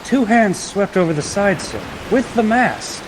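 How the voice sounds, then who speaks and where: A man's voice: high voice, sing-song, unhurried. A man playing a worried, deferential ship's crewman in old-time radio drama style. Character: worried, weary crewman reporting to captain. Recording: hissy, loud storm noise behind.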